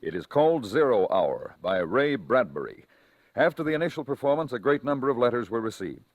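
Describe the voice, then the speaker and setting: A man announcing a vintage radio thriller show in a formal, measured, dramatic scripted style. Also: medium pitch, big pitch swings, no room sound, lo-fi sound. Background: music.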